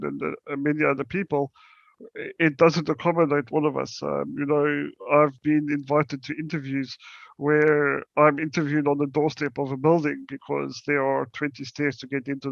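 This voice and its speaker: A young South African man presents at a conference, stopping and restarting often with plenty of ums. His voice is gravelly and fairly high, held in a flat, even tone. The recording is dry and a bit muffled. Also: no room sound. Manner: halting, many fillers and restarts. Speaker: startup presenter.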